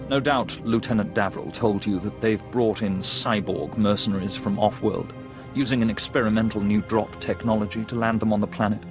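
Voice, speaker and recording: An actor playing a refined, coldly calculating Imperial lieutenant in a video game, precise and smug in delivery. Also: male voice, lowish voice, steady tone, normal speed, natural voice. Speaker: military officer character. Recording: loud music behind the voice. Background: music.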